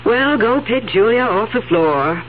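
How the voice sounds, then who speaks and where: Impatient wife, tinny radio. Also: female voice, fairly high pitch, expressive, measured pace, a little hiss or hum. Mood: exasperated. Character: impatient, resentful wife, sick of caring for in-laws. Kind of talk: 1970s radio drama acting. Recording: tinny 1970s radio broadcast, faint music.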